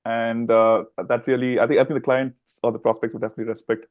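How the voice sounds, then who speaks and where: A man's voice: medium pitch, little pitch movement, fast talker, no room sound, narrow, tinny audio. A man hosting a podcast, laughing often and asking long, rambling questions. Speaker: podcast host. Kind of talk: casual interview questions.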